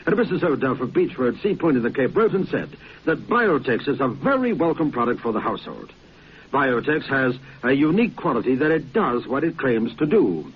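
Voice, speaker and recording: Courteous old-time radio adman, lively intonation. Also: medium pitch, a little fast, husky. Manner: courteous, formal. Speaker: advertisement presenter. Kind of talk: old-fashioned radio sponsor's pitch. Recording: dull, faintly noisy old radio recording.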